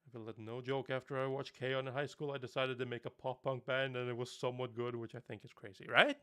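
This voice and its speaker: A man's voice: mid-range voice, flat delivery, fast, fairly bright tone, clear, resonant tone. A young man from Norway, a native Norwegian speaker talking in English, chatting casually on a live stream, rambling and laughing often.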